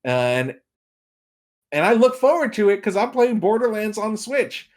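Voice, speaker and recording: Higher-pitched man chatting about games. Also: big pitch swings, moderate pace. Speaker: podcast guest, movie and game commentator. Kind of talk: casual video game podcast chat.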